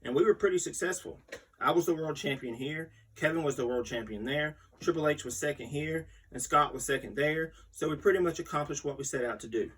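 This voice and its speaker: A man's voice: a little high, a little fast, fairly bright tone, husky. A man reading a magazine article aloud as the host, in a scripted storytelling read. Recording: distant microphone.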